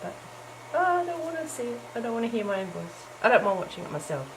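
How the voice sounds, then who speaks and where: A middle-aged woman chatting casually while she crafts at home early in the morning, her voice croaky from just waking up, with lots of ums and small laughs. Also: on the high side, sing-song, quick pace. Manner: chatty and self-deprecating, with frequent ums and little laughs. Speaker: crafting vlogger. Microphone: camera's built-in mic. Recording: noisy home camera recording.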